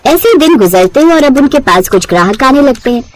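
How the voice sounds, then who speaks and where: A woman narrates a story from a script. Her voice sits fairly high, and she reads as a storyteller. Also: sing-song, fairly quick.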